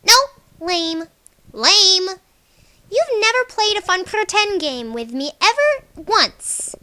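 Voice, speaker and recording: Woman voicing a high, playful, pouty alien cat girl. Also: bright, forceful tone, heavily acted. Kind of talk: character voice reel for a webcomic.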